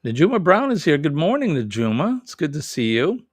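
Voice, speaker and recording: A man's voice: on the high side, very animated, a little fast, dry recording. A man with a Boston accent hosting a casual live stream, rambling and correcting himself as he chats with viewers. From New England. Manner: rambling, self-correcting, chuckles often. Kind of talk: casual live chat reading viewer comments.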